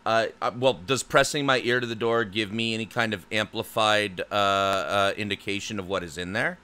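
A man's voice: lowish voice, fairly even intonation, normal speed, pushed and bright, clean tone. A man playing a tabletop game, hesitant with lots of fillers and restarts. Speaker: player. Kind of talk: tabletop game play, in and out of character.

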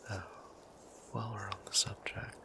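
A man voicing a gentle, formal male vampire priest in an ASMR roleplay, whispering with courtly, old-fashioned politeness. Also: on the low side, little pitch movement, moderate pace, close to an everyday voice. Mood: tender.

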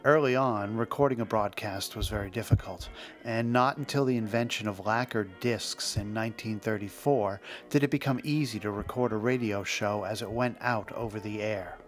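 A man with a fairly low voice hosts a podcast in a scripted narration style. Music plays clearly behind him as he talks. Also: moderate pace. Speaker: podcast host. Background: background music.

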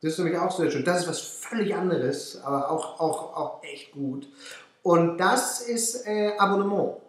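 Guy talking to his webcam, a bit high, lots of uh's, echoey room. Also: normal speed. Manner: hesitant, filler-heavy. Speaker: presenter. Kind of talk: direct-to-camera promo.

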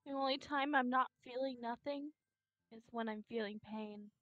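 A woman voicing an anxious, sad teenage anime girl from a visual novel, halting and timid. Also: fairly high pitch, no echo, narrow, tinny audio, soft background music, natural voice. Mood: sad, scared.

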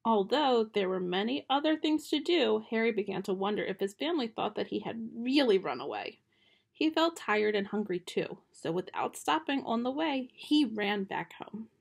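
Young woman reading a kids book into her webcam, gentle storytime voice, a bit gravelly. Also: fairly high pitch, big pitch swings, normal speed. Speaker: children's book reader. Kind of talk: reading a picture book aloud. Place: small bedroom at home, indoors. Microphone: webcam close up.

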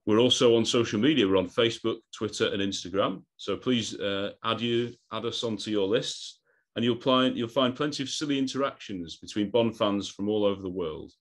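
A man's voice: lowish voice, a little fast. Eager man hosting a podcast. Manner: eager, laughs between lines, repeats words. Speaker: podcast host. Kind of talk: podcast interview. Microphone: video call.

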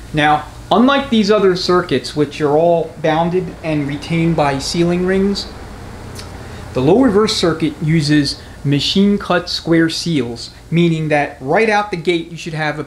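A man giving a hands-on mechanic's tutorial in a matter-of-fact, conversational way. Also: fairly high pitch. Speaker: transmission mechanic, instructor. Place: indoor garage workshop. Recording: echoey garage with clear background noise.

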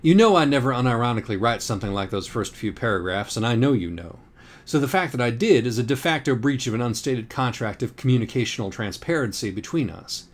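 A man reading scripted audiobook narration in a wry, deliberate, literary manner. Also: medium pitch, expressive. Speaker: audiobook reader.

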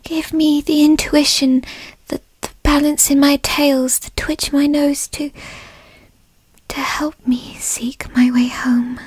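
A woman voicing a bratty, insecure centuries-old kitsune fox spirit in a huffy, breathy ASMR whisper, close to the mic. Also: high voice, level tone, a little mellow, no room sound. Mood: pleading. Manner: huffy, sighing, breathy whispering, drawn-out words. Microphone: close microphone in a dry space.

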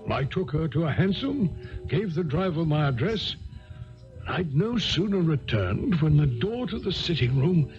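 An actor playing a loyal, eager doctor companion who narrates a 1970s radio drama in an earnest, attentive way. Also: male voice, on the high side, sing-song, unhurried, darkish tone, dull audio, faint music behind.

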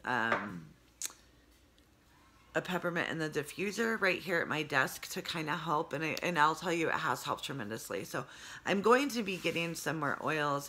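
A woman in her forties with a congested, hoarse voice, rambling through a casual video diary with lots of fillers, recorded on a close phone camera at home. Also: sits low, pushed and bright. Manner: rambling, many fillers, talks with her hands. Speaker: vlogger. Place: home room with low sloped ceiling. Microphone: phone camera close up, earbud headset.

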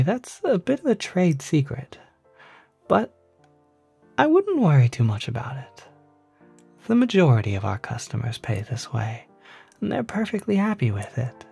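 A man playing a polite, quietly sinister demon concierge in an ASMR roleplay, speaking softly in half-whispers with gentle, hesitant persuasion. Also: medium pitch, exaggerated voice. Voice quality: soft, breathy, half-whispered.

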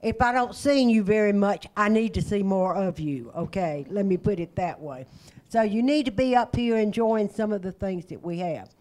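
A woman's voice: mid-range voice, moderate pace, a little mellow. A middle-aged woman, director of a senior center, hosting a birthday party in an indoor community room on a handheld mic. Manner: cheerful, chatty, holds a handheld mic.

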